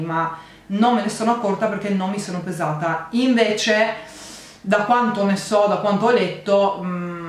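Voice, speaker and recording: Woman vlogger in a very echoey room. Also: fairly low pitch. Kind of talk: casual chat to camera. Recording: echoey room, faint noise.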